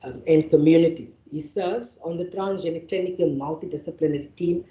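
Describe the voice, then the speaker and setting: A woman's voice: low-pitched, a little fast, mellow. Afrikaans-speaking woman, echoey hall. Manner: reads prepared remarks, frequent restarts. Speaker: panel facilitator. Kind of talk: formal speech and speaker introductions. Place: university lecture venue. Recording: echoey hall, dull sound.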